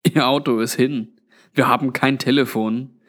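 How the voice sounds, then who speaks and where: A man's voice: medium pitch, fairly even intonation, unhurried, fairly bright tone. A man voicing a weary, blunt old undead man with a grave, resigned manner in a narrated story.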